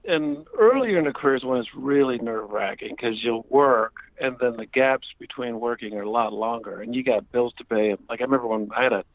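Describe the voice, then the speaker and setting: A man's voice: medium pitch, fairly quick, hardly any pauses, no echo. Wry man in his fifties on a phone line. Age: 50s. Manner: wry, self-mocking, laughing easily. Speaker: guest actor. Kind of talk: casual podcast chat by phone.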